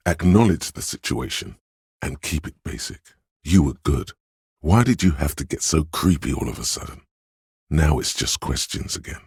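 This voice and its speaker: An extremely low voice plays a coaxing inner voice for a video game. It is heavily husky and breathy, measured and knowing, as if advising a troubled cop from inside his head. The recording is close and dry. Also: lively intonation, darkish tone, no echo, exaggerated voice. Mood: chiding. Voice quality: very deep, husky. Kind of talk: scripted second-person game narration.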